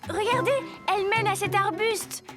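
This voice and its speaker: A woman's voice: very high-pitched, moderate pace, over loud music. A woman voicing a cheerful, playful little girl in a preschool cartoon, with exclamatory delivery and silly sound-making. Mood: curious, excited. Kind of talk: animated preschool cartoon dialogue.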